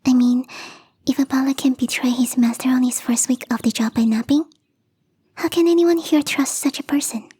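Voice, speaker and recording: Woman as young female catgirl, high pitch, breathy whisper, coy, playfully smug, ASMR roleplay, very dry sound. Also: steady tone, mellow, dry recording. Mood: sly, mock threatening. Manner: teasing, coy, unhurried. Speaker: voice actress.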